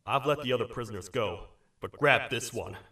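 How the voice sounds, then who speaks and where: An actor playing a grim, commanding monster leader in a video game, recorded with echo at a distance. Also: male voice, medium pitch, fairly quick, sharp tone. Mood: grim, resolute. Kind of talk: video game character acting. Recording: echoey, distant from the microphone.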